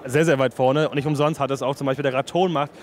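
A man's voice: medium pitch, fairly even intonation, racing. A young man working as an interviewer, chatting casually and informally about technical gear with his own opinions. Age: young adult. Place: busy trade fair booth in a large hall. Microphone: handheld interview microphone. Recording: clear trade fair hall noise.

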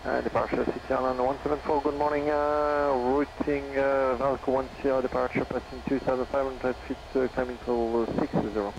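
Brief: male pilot on a headset, fast radio calls with ums, noisy cockpit with engine hum. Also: mid-range voice, flat delivery, dull audio. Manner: quick, with hesitant fillers. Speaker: airline pilot. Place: aircraft cockpit in flight.

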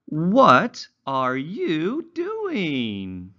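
A man's voice: a little high, wildly swooping pitch, drawn-out words, bright, forceful tone, muffled recording. A man teaching young children in a read-along lesson, enunciating carefully with exaggerated, instructional intonation. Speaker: English teacher for young learners.

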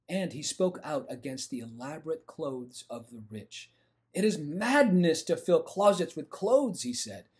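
Male storyteller, middle-aged. High, mellow voice, expressive read-aloud for children. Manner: emphatic, animated storytelling. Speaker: storybook reader. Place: indoors, home room with bookshelf.

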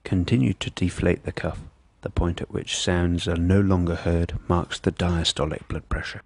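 Deep male narrator doing measured step by step medical teaching, bit husky, dry sound. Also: level tone, darkish tone, no echo. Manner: measured, precise, impersonal. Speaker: instructional narrator. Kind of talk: scripted medical instruction narration.

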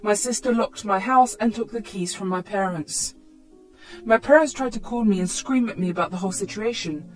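A woman's voice: mid-range voice, normal speed, soft background music, poor audio. A woman reading a scripted first-person dramatic story as a storytime narrator.

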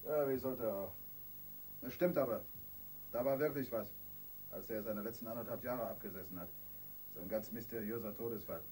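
An actor playing a wry, self-assured police detective in a radio crime drama, colloquial and needling. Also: male voice, fairly low pitch, a little fast, pauses often, warm tone, lightly acted, poor audio. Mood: wry, pleased. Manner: colloquial, sardonic. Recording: radio play with faint noise.

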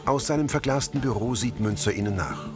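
A man's voice: mid-range voice, brisk, over music. A man reading scripted audio description narration in the present tense.

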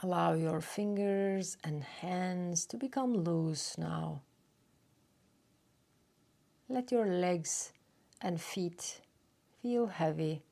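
A woman leading a guided relaxation meditation as a yoga nidra teacher, speaking gently and unhurriedly with long pauses. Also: on the low side, vocal fry.

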